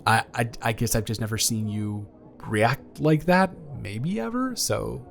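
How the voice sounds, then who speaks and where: A man's voice: medium pitch, expressive, a little slow, creaky, soft background music. A man playing an awkward, affectionate young ex-boyfriend in an improvised intimate audio roleplay, talking in a hesitant, playful, earnest ramble. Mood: gently curious.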